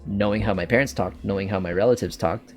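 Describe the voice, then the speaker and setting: A Canadian-accented man hosts a laid-back podcast chat in a slightly low voice. He rambles, restarts his sentences and wanders between thoughts. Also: quick pace, soft background music. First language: English. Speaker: podcast host. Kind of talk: casual chat.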